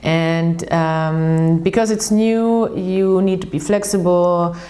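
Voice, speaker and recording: Low-voiced woman. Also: steady tone, slow pace, mellow. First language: German. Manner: chatty and earnest, restarts often, repeats words for emphasis. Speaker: tarot reader and channel host. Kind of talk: casual tarot reading while shuffling cards.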